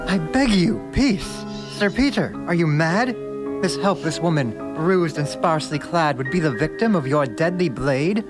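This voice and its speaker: A man's voice: a little high, animated, unhurried, over music. A man playing a headstrong, gallant young squire, earnestly speaking archaic rhyming verse in a radio drama. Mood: indignant. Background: musical accompaniment.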